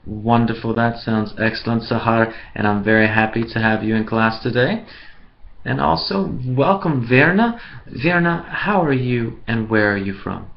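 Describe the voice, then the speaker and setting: A man from the USA teaching English in an online class, speaking slowly and clearly in an encouraging way. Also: medium pitch, expressive, highs cut off, a little hiss or hum.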